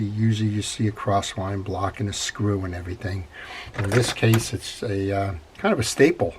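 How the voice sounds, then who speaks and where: An older man with a head cold, congested, hosting a relaxed, rambling how-to on servicing a fishing reel at his workbench. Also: fairly low pitch, slight background noise. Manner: relaxed, rambling with frequent fillers. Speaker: fishing reel repair channel host. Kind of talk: casual how-to narration. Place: workshop bench.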